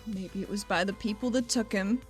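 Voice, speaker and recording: A woman's voice: mid-range voice, fairly quick, faint music behind, close to an everyday voice. A woman playing a weary, sardonic young businesswoman investigator, talking casually and a bit hesitantly. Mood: wry, weary.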